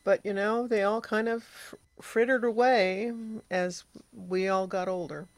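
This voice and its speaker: An older woman in casual conversation, reminiscing with a halting delivery. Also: medium pitch, steady tone, dry recording. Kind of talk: casual chat.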